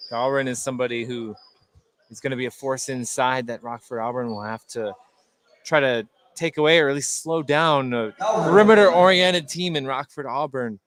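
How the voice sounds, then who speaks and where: A man giving live colour commentary on a basketball game, analysing in a conversational, halting way. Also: medium pitch, a bit bright. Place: indoor high school gymnasium.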